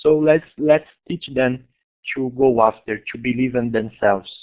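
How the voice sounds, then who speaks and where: Brazilian guy talking about his school, halting english, lots of restarts, tinny web call sound. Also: mid-range voice. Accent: Brazilian Portuguese. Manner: hesitant, halting non-native English with many restarts. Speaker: guest speaker, school founder. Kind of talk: online conference presentation. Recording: tinny online call audio.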